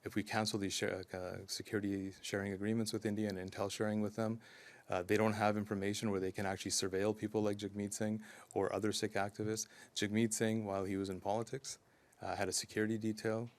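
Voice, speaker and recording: A man speaking as a community advocate at a news conference, earnest and steady, with frequent ums and uhs. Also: lowish voice. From Canada. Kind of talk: press conference statement.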